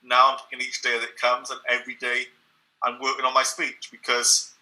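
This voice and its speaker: A young Englishman talks through his experience in an earnest, reflective interview voice. His tone is very bright and sharp, pitched right in the middle for a man. Now and then he doubles a word as he restarts. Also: brisk, poor audio. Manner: steady, earnest, with occasional repeated words and restarts. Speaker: interview guest.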